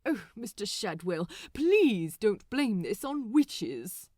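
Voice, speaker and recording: A woman voicing a polite, prim, anxious gentleman, hesitant and flustered, in a storybook reading. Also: mid-range voice, sing-song, slow, no room sound, clean studio sound. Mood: flustered, pleading. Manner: hesitant, pleading, proper. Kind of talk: fan fiction audiobook character voice.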